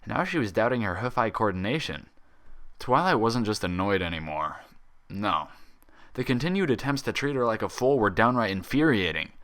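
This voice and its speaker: A man narrating a fan fiction story as an expressive reader. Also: on the low side, normal speed.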